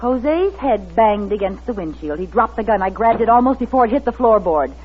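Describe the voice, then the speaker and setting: A woman's voice: on the high side, expressive, a little fast, slight background noise, natural voice. A woman acting a tough, wary secretary on the run in an old radio thriller, clipped and determined. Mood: tense recounting. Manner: hard-boiled, clipped, determined. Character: tough, wary young secretary turned gun-toting fugitive, narrating. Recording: muffled vintage radio recording with music.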